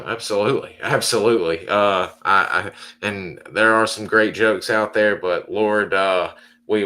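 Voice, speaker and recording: A man hosts a podcast with a somewhat low voice, circling around his questions with lots of halting starts. His manner is easygoing and conversational. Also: bright, forceful tone, slight background noise. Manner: rambling, halting, full of restarts and fillers. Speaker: podcast host. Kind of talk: casual interview hosting.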